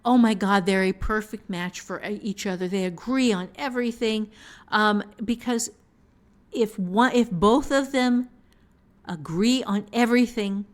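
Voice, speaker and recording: Female co-host, novelist, conversational and emphatic, middle-pitched voice, prone to chuckling, relaxed shop talk. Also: measured pace. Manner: chuckles often while talking, emphatic repetition. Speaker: novelist and co-host discussing fiction writing. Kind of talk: casual conversational writing discussion.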